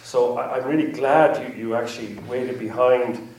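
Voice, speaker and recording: A man's voice: medium pitch, steady tone, moderate pace, darkish tone, a little hiss or hum. A man hosting a panel after a film screening, talking in a hesitant, rambling way and repeating himself. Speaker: event host. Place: screening hall with audience. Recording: roomy hall from far off, faint ambience.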